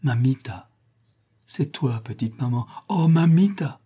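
A man's voice: fairly high pitch, lively intonation, moderate pace, warm tone, husky, voice pushed up. A man voicing a brave, sensitive young desert tracker in an adventure story, speaking earnestly and with feeling. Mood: choked, tender. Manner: earnest, emotional.

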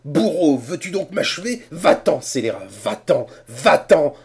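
A man voicing a furious old English gentleman who rants shrilly in outrage. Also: on the high side, sing-song, unhurried, slightly rough, pitched above the performer's own voice, heavily acted. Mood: vengeful.

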